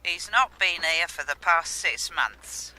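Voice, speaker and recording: High sharp-voiced grouch in a radio play, curt, scornful, jumpy pitch. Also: male voice, lively intonation, unhurried, heavily acted, poor audio. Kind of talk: radio drama acting.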